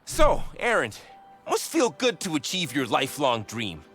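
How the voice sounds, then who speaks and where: Young hero, sincere and encouraging, lighter high-set voice, wildly expressive, game cutscene over music. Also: male voice, a little slow, breathy edge, full character voice. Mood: friendly. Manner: earnest, encouraging. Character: eager, sincere, supportive young male hero and comic-book fan. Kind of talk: animated video game cutscene acting. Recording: music underneath the voice.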